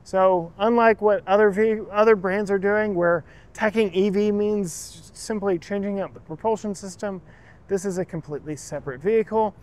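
A man's voice: sits high, fairly even intonation, moderate pace, a little mellow. A man hosting a car channel, walking through an auto show and chatting enthusiastically about the vehicles while cueing his camera operator. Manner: enthusiastic, chatty, gushes over details. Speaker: car reviewer and channel host.

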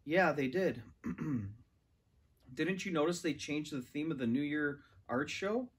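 Man reading aloud, slightly high voice. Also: animated, slow pace, breathy edge. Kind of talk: children's novel read-aloud. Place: large furnished living room, indoors. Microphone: camera or phone a few metres away.

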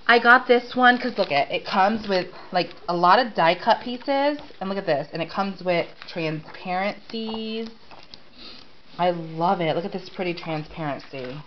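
A congested, sniffling woman gushing over craft paper she bought, filming close-up on a handheld camera at home. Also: mid-range voice. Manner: gushing, repeats "so cute" and "I love it". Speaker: crafting vlogger. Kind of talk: casual product haul show-and-tell. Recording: dull lo-fi home camera audio with clear hiss.

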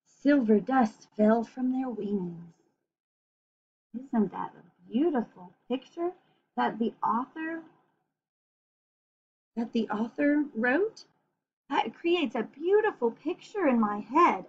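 A woman reading a picture book aloud for children in an expressive, gentle way, with chatty asides. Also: fairly high pitch, breathy edge. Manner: expressive, gentle, addressing young listeners. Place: small room at home. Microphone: computer webcam at arm's length. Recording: dull, muffled webcam recording.

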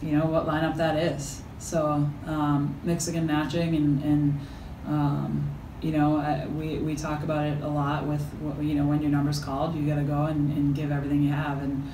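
A middle-aged woman coach speaks haltingly, restarting her sentences and filling pauses. She sits at a table mic some distance away in an echoey room. A steady noise hum sits beneath her. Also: low voice. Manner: halting, many fillers and restarts. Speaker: head coach. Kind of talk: postgame press conference answers. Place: press conference room with backdrop. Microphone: table mic from a few feet.

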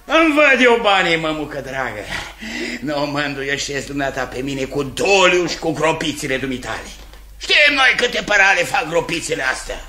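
A hot-tempered, boorish creditor in a 1950s radio play. His voice is high and piercingly forceful, swinging widely as he blusters and grumbles. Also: big pitch swings, a little hiss or hum, exaggerated voice. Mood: stubborn, scornful. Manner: bellowing, blustering, grumbling to himself. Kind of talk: 1950s radio theatre acting.

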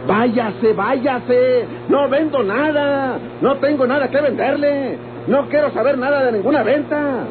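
High-voiced, hot-tempered rancher. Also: male voice, a little slow, hardly any pauses. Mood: exasperated, angry. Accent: Mexican. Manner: irritable, emphatic, exasperated. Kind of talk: golden-age radionovela acting. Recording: tinny, noisy old radio recording.

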